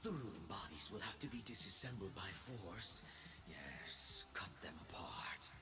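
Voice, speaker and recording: A man playing an arrogant, power-mad young space emperor in a video game, pompous and gloating, swinging between shouts and whispers. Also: low-pitched, animated, a little slow. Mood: menacing whisper. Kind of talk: theatrical video game voice acting. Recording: loud music behind the voice. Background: music.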